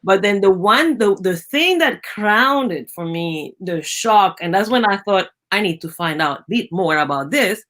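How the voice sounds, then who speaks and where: A middle-aged woman from Venezuela with a Venezuelan Spanish accent, a native Spanish speaker and biologist, telling stories in a lively interview, acting out quoted voices and often restarting her phrases. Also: mid-range voice, unhurried, hardly any pauses. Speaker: guest, biologist. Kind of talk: conversational storytelling interview.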